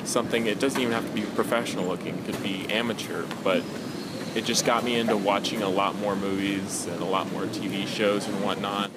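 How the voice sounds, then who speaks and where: A young man speaking in a relaxed, casual way as a student in an interview. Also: fairly low pitch, fairly quick. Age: young adult. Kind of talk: interview answers. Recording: loud outdoor street noise.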